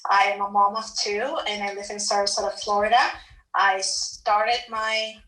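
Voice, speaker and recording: A woman from Peru speaking in an eager, motivational way with a Peruvian accent, her voice bright and a little sharp. She sounds some distance from the mic in a roomy, echoing space. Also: mid-range voice, level tone. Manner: eager, motivational, quick bursts. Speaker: online business coach. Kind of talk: live audio room chat.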